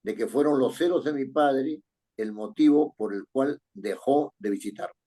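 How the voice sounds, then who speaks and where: Husky-voiced man teaching writing. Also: mid-range voice, mellow. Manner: reads text aloud slowly, then explains with rising questions like "¿no es cierto?". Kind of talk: correcting a student's paragraph in class.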